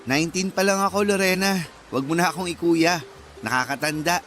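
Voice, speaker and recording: An actor playing a polite, eager nineteen-year-old suitor with a Filipino accent and a modest, friendly manner in a radio drama. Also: male voice, a little high, brisk, a bit bright, soft background music, close to an everyday voice. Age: young adult. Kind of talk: Tagalog radio drama acting.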